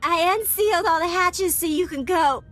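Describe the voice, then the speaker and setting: A woman's voice: very high voice, normal speed, fairly bright tone, soft background music. A woman voicing a naive, melodramatic, lovelorn girlfriend in a video game, with a whiny way of talking. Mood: tearful, hurt.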